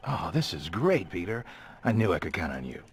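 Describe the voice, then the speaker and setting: Deep-voiced, kindly newspaper editor. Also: male voice, very animated, fairly quick, a little hiss or hum. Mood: kindly, encouraging. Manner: avuncular, easygoing. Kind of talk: video game voice acting.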